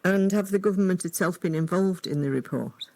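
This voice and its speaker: A woman radio presenter asking brisk, prompting questions of a guest. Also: fairly low pitch, warm tone. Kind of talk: radio interview.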